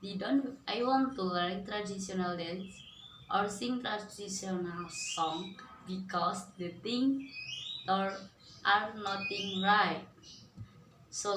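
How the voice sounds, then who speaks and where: A young woman with an Indonesian accent hurries through a memorized formal speech and often restarts her words. Her pitch sits in the middle. She is heard from across a reverberant room with faint background noise. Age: young adult. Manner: quick, with many restarts. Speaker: student speaker. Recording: roomy echo, from far off, faint noise.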